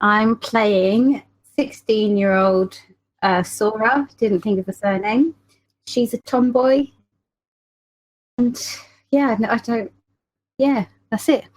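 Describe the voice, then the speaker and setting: A woman playing in a roleplaying podcast, casually introducing herself in an offhand, halting way. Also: fairly high pitch, rough recording.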